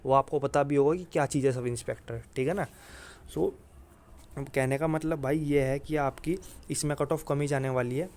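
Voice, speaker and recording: A man's voice: medium pitch, fairly even intonation, moderate pace, darkish tone. A man giving informal exam guidance like a coach, repeating his points emphatically and often addressing listeners directly. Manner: repetitive, emphatic reassurance with frequent filler address.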